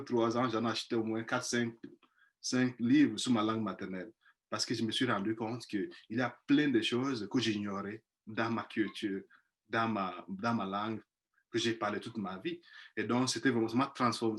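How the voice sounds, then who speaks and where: A man's voice: on the low side, fairly bright tone. A man, a missionary priest not speaking his first language, telling of his experience in a webinar on a video call, hesitant with many restarts. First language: not French; speaks five languages. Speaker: missionary priest, panel guest. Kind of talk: personal testimony in a webinar.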